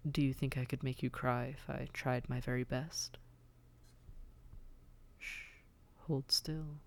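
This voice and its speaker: A man playing a suave, teasing demon prince of Hell in an intimate audio roleplay, with a gravelly, unhurried, coaxing delivery that often drops toward a whisper. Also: medium pitch, darkish tone. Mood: tender, teasing.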